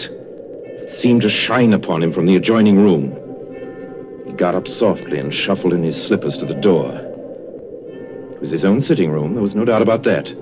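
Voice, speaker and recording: A man narrating a story in a measured, theatrical 1930s radio style. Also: on the low side. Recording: dull 1930s radio broadcast with music.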